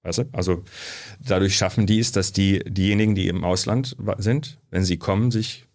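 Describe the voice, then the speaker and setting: A man from Germany, a sociologist guest, telling stories in a relaxed studio interview, with lots of fillers. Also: sits low, normal speed. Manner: chatty storyteller, frequent fillers.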